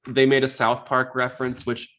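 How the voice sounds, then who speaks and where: A man's voice: mid-range voice, monotone, normal speed, a bit bright. A man hosting a casual fan podcast, chatty and full of opinions. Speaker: podcast host.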